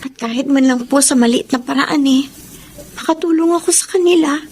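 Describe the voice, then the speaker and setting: A woman's voice: sits high, fairly quick, darkish tone, natural voice. A woman playing a dutiful, respectful young granddaughter in an emotive Filipino radio drama, speaking politely with a Filipino accent. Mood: earnest, pleading.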